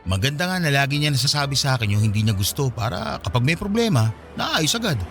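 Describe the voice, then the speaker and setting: A man's voice: mid-range voice, sing-song, fast, creaky, a bit bright, faint music behind. An actor playing an easygoing, unromantic young man talking casually with a friend in a Filipino radio drama. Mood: easygoing, earnest.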